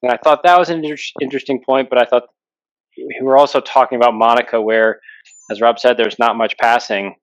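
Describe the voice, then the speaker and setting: A man's voice: mid-range voice, steady tone, a little fast, muffled recording. A man hosting a casual podcast chat, thinking aloud and stumbling over repeated words. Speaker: podcast host. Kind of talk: casual discussion.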